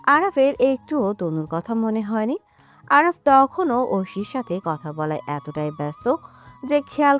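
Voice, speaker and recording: A woman narrating a scripted romance story with emotive, melodramatic delivery. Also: mid-range voice. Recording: music under the voice.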